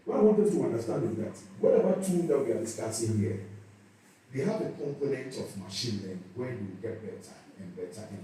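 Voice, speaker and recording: A man's voice: fairly low pitch, mellow, slight background noise. A man with a Ghanaian accent giving a talk at a church youth session. He often repeats a word while searching for the next one. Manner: repeats words while searching for them, then speeds up. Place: church hall. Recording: echoey church hall, mic at a distance.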